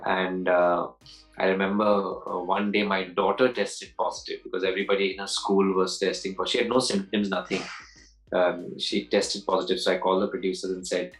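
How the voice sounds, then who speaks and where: A man's voice: low-pitched, flat delivery, fairly quick, soft background music, poor audio. A man in his forties, an actor answering interview questions in a loose, rambling way, often restarting and repeating words, with easy laughs. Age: 40s.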